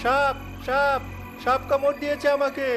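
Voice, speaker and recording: Man as a high-voiced, plaintive village father. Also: completely flat delivery, moderate pace. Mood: frightened. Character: frightened, bewildered village father. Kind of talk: cartoon story dubbing. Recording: music bed under the dialogue.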